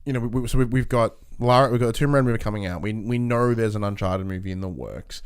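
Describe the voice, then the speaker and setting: A man's voice: on the low side, fast, clear, resonant tone. A man co-hosting a podcast, steering the discussion in a casual, conversational style. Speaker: podcast co-host.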